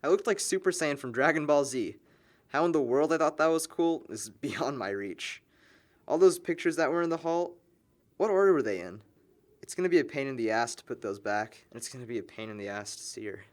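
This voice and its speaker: A man's voice: medium pitch, expressive, a little fast, natural voice. A man playing a sarcastic, needling young man among longtime friends in an amateur audio drama. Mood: teasing, then grumbling.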